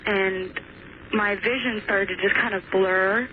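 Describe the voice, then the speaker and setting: A woman's voice: mid-range voice, fairly even intonation, slow pace. A young woman calling a radio show, casually recounting a personal experience in a tentative, hesitant way. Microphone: telephone. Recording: tinny phone line, faint noise.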